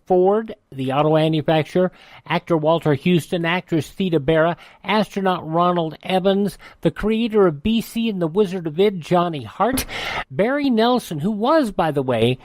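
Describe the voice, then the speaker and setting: A man's voice: on the high side, a little mellow, no echo. An older American man hosting radio, reading history items in a narrating style with chatty personal asides. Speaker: radio host. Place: radio studio.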